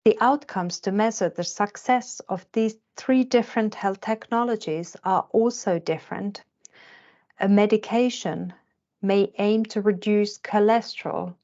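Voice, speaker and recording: Slow, measured woman from Finland. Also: mid-range voice, dark tone. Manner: measured, carefully paced delivery. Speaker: health economist. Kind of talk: scripted lecture narration. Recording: slightly dull lo-fi recording.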